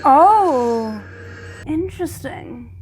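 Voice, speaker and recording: A woman's voice: sits high, animated, extremely slow, a little mellow, faint music behind, poor audio. A woman hosting a reaction video, thinking aloud about the story and talking back to the characters on screen. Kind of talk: casual reaction commentary.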